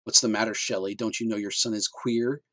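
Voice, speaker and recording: A man putting on a domineering father who barks scorn at his family in a low, dry voice with no room around it. He is harsh and commanding, the bully of a storybook household. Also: steady tone, fairly quick, fairly bright tone, no echo. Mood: contemptuous.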